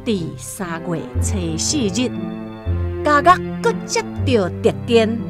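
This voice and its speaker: A woman with a Taiwanese accent narrating a radio drama as an expressive scripted story. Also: fairly high pitch, takes it slowly, music in the background.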